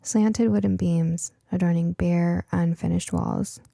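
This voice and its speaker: Mellow, creaky-voiced woman narrating a story. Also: fairly low pitch, steady tone, slow, no room sound, studio quality. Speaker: audiobook narrator.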